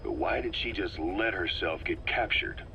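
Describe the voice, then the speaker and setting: An actor playing a composed, shrewd military intelligence officer in a video game, reasoning in a measured, persuasive way. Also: male voice, sits low, highs cut off. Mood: firm, matter-of-fact. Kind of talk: video game audio drama acting. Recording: noisy radio-like tape recording.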